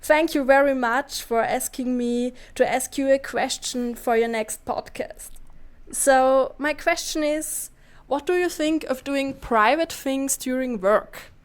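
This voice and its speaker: A woman's voice: sits high, slow, clear, resonant tone. A woman in her twenties with an Austrian accent, leaving a voice message with a prepared question in careful English. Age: 20s.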